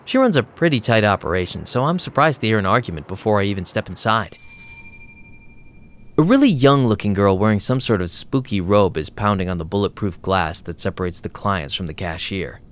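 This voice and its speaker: A man's voice: lowish voice, animated, fairly quick, close to an everyday voice. A man playing a wry, streetwise vampire DJ, narrating an audio drama in a sardonic, casual way.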